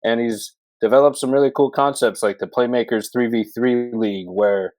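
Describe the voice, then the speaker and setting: A man's voice: medium pitch, steady tone, moderate pace, a little mellow, no echo. A man hosting a podcast, talking in a casual, rambling and enthusiastic interview style. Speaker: podcast host. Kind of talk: casual interview with long introduction.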